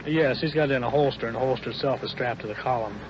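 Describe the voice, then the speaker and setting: A man's voice: mid-range voice, fast talker. A male police officer giving an unscripted, matter-of-fact briefing out in the field on an old radio recording. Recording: muffled 1950s field radio recording, faint noise.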